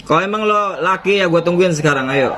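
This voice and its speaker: A man's voice: sits high, fast talker, over a noisy crowd. A man defiantly taunting and mocking a debt collector during a heated phone argument. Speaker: borrower confronting a debt collector. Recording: phone call recorded with noisy background.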